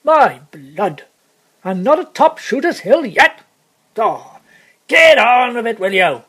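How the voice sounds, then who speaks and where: A man voicing a gruff, exasperated working coachman in an audiobook, loud, blustering and complaining. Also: very high-pitched, lively intonation, a little slow, pitched above the performer's own voice. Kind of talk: audiobook character voice.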